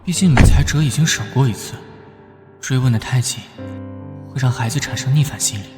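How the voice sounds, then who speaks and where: A man playing an earnest young police detective in an animated drama, serious and brooding. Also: mid-range voice, brisk, music in the background, lightly acted. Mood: pensive.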